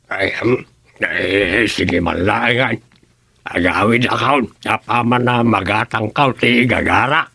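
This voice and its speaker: A man's voice: lowish voice, slow, vocal fry, pushed and bright, husky, no echo, clean studio sound, exaggerated voice. A man playing a meek, grumbling townsman who talks hesitantly in a radio comedy-drama. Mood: meek, reluctant.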